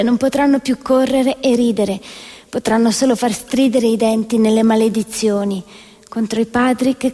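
A woman narrates from the stage in a high voice with long hall reverb. Her scripted delivery is solemn and emphatic, built on short, measured phrases. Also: warm tone. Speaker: solo stage actress narrating.

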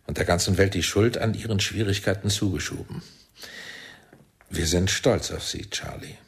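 An actor playing a commanding, sardonic older intelligence chief in a radio drama, probing and deliberate. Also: male voice, deep voice, gravelly, husky, heavily acted. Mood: approving, controlled. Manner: deliberate, probing, swinging from soft to barking. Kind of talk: German radio drama acting.

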